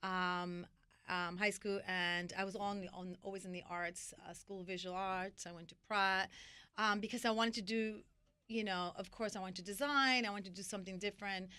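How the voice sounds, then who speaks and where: A middle-aged woman from New York talks through her career in a loose, animated way, circling back with fillers and restarts. Her voice is bright and smooth, with a clean resonance. The sound is dry and close, with no room around it. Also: medium pitch, normal speed, no echo. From New York (Washington Heights). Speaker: interview guest, magazine founder. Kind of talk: casual interview storytelling.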